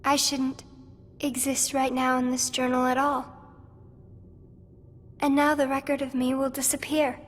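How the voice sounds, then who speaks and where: Gentle, high-voiced, wistful girl. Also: completely flat delivery, a little slow, long pauses. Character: gentle, wistful teenage girl, softly solemn. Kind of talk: video game cutscene acting.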